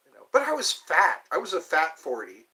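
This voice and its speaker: A man's voice: on the high side, wildly swooping pitch, brisk, bright, forceful tone. Rambling, joking man in his fifties. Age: 50s. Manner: rambling, joking, self-deprecating. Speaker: livestream host. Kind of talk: casual chat answering viewers.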